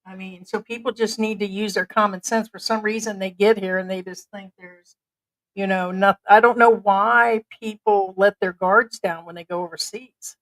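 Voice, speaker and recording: A woman's voice: mid-range voice, fairly even intonation, normal speed, fairly bright tone, rough recording. A woman from the United States co-hosting a casual livestream chat, talking freely and cutting in with her own points. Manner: chatty, interjecting, talks to pet. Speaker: co-host of a livestream.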